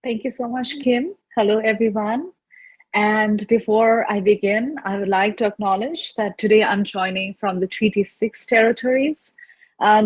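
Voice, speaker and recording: Female, 20s, Nepali accent, mid pitch, slightly husky; earnest youth speaker presenting on a video call. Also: rough recording. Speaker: youth representative and panel speaker. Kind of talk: personal presentation to an online audience.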